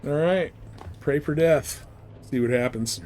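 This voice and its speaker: A man's voice: fairly high pitch, a little slow, a little mellow. Casual rambling male game streamer. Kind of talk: casual live stream gameplay chat.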